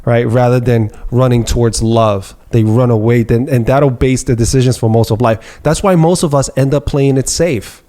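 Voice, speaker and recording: A man's voice: medium pitch, steady tone, normal speed, hardly any pauses, warm tone. A man hosting a podcast, reflective and asking probing questions in casual conversation. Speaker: podcast host.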